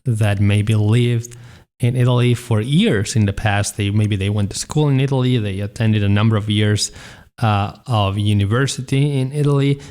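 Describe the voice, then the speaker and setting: A man hosting a talk show, giving measured, explanatory answers as an advisor. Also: on the low side, darkish tone. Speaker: citizenship advisor host.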